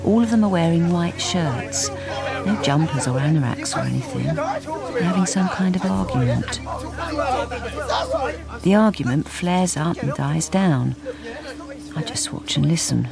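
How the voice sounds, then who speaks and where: An actress playing a lonely, downtrodden wife in a radio drama, with a bleak, understated delivery. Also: female voice, low-pitched, very animated, unhurried, creaky, pauses often, over loud music, a loud crowd, exaggerated voice. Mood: bleak, haunted. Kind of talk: first-person radio drama narration.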